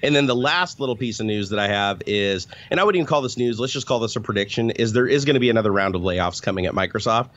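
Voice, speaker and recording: A man's voice: lowish voice, expressive, quick pace, few pauses, fairly bright tone. A man co-hosting a casual chat over a video call, talking in a profane, rambling way. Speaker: podcast co-host, former software tester. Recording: dull lo-fi call audio.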